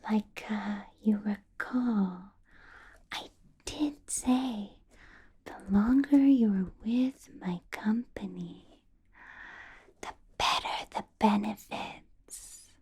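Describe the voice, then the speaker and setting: Woman as female vampire boss, ASMR roleplay, very breathy whisper, extremely slow delivery, possessive, coolly menacing, condescending, musing hums. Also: mid-range voice, darkish tone, exaggerated voice. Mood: coolly probing. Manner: slow, deliberate, drawn-out pauses, musing hums.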